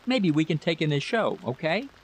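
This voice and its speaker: A man's voice: on the high side, sing-song, moderate pace, breathy edge, pitched above the performer's own voice. A man voicing a proud, irritable husband in a story, complacent and brushing off worries. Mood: reassuring. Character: dismissive war-technician husband, proud and irritable.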